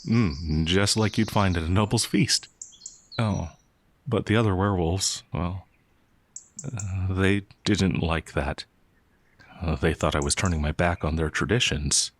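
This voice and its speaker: A man playing a gentle, naive young peasant werewolf, hesitant and easily flustered, in a fantasy audio roleplay. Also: deep voice, unhurried. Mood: shy, gentle.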